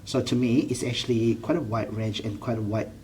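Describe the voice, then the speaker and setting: A man's voice: medium pitch, steady tone, a little fast, a little mellow, a little hiss or hum. A man in his forties from Malaysia with a Malaysian accent, a GP answering interview questions earnestly, often restarting his sentences. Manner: earnest, with frequent restarts and non-standard grammar. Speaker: general practitioner.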